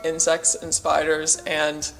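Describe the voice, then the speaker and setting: A woman's voice: sits low, monotone, slow, gravelly, soft background music, low-quality recording. A woman with an American accent, talking casually to camera in a chatty vlog style, with small laughs between points. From Australia, raised in America. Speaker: vlogger.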